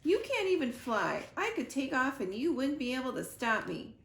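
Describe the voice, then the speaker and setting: Woman voicing a whiny, high-pitched young gosling. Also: sing-song, normal speed, gravelly, a bit bright, full character voice. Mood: indignant, defiant. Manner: whiny, indignant, childlike protesting. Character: young gosling son, impatient, emotional, pleading. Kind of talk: children's storybook read-aloud. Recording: roomy echo, noticeable background noise.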